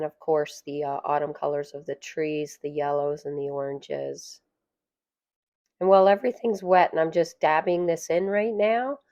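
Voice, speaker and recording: A woman narrating a watercolor painting tutorial, hoarse and losing her voice, soft-spoken and chatty. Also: lowish voice, no echo, clean studio sound. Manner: soft-spoken, chatty, with fillers and light laughs. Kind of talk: relaxed voice-over tutorial.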